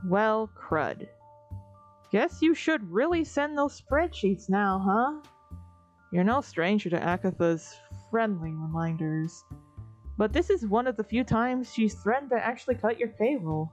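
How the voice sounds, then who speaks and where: A woman's voice: medium pitch, expressive, measured pace. A woman narrating a comic dub in the second person, with a wry, weary, conversational delivery. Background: soft music.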